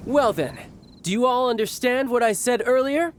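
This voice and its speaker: An actor playing a confident young warrior in a video game, with a breezy, teasing way of talking. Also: male voice, very high voice, moderate pace, slight background noise. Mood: cocky, commanding. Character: cocky young warrior commander, doting older brother. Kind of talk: acted video game dialogue. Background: game music.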